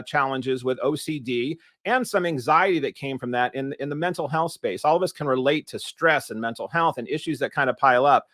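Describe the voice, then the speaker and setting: Rambling podcast host, dry close sound. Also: male voice, fairly high pitch, fairly bright tone, no echo. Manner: rambling, stumbling, enthusiastic. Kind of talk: interview host introduction and questions.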